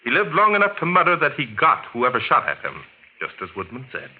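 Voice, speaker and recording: A man playing a shrewd, confident gentleman sleuth, crisp and explanatory in 1940s radio style. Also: medium pitch, huge pitch swings, brisk. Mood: accusing. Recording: tinny, hissy 1940s radio recording.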